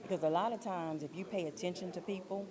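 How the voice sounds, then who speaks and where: A woman giving earnest, deliberate interview answers as a director and playwright. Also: lowish voice, crowd noise. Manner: earnest, faith-minded, deliberate.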